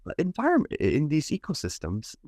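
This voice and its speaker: A man's voice: a little high, wildly swooping pitch, a little fast, darkish tone, dry recording, clean studio sound. A man from Canada, born in India, speaking as an interview guest in a polite, earnest, wordy way with hesitant repeats. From Toronto, Canada, born in Mumbai, India. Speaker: interview guest, environmental scientist. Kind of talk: conversational personal storytelling.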